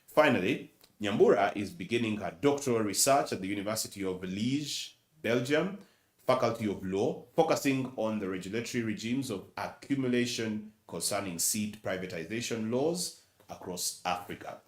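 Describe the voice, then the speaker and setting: Ugandan man moderating, low echoey voice. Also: animated. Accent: Ugandan, Luganda-influenced. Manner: measured, reads bios with fillers. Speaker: press conference moderator. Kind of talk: formal panel introductions.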